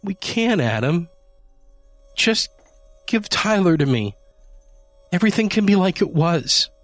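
A man's voice: sits high, sing-song, unhurried, fairly bright tone, no room sound, soft background music, pitched above the performer's own voice, exaggerated voice. A man voicing a frantic, grieving woman who pleads and screeches over her kitten. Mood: pleading.

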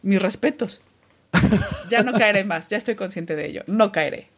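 A woman with a Mexican Spanish accent who chats on a podcast as a guest. She teases her host playfully and laughs easily, with an easy, mid-pitched voice. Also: moderate pace. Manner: playful, teasing, laughs often. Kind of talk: casual podcast chat.

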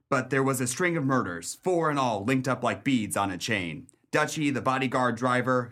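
A man's voice: mid-range voice, a bit bright, natural voice. A man playing a dogged, bitter police detective in a 1930s pulp crime radio play, with a wry, tough-talking manner.

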